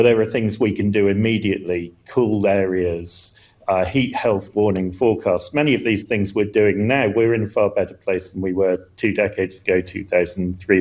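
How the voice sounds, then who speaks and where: A low-voiced man giving explanatory answers as an expert in a broadcast interview. He is earnest and emphatic, and he often stumbles into repeated words before pushing on. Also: darkish tone. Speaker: climate scientist, expert guest.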